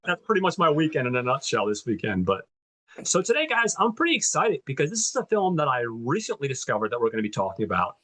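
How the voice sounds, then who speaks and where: A man's voice: medium pitch, wildly swooping pitch, quick pace, a bit bright, low-quality recording. A man co-hosting a movie podcast, chatting in an upbeat, enthusiastic way and often repeating words as he starts. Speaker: podcast co-host. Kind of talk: casual chat.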